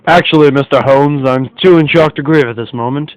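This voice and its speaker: A man's voice: medium pitch, brisk, no echo, close to an everyday voice, poor audio. A man playing a courteous, wealthy businessman hosting a party in a radio mystery. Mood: shocked, subdued. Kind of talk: radio mystery play acting.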